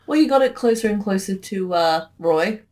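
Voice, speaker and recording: A co-commentator chatting casually about an animated parody series. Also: mid-range voice, normal speed.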